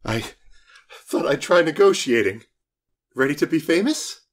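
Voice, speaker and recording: A man voicing a grumbling, animated office outlaw. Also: on the high side, unhurried, pitched above the performer's own voice. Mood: resolute. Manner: grumbling, exasperated. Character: disgruntled, sardonic male office outlaw. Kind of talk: audiobook character dialogue.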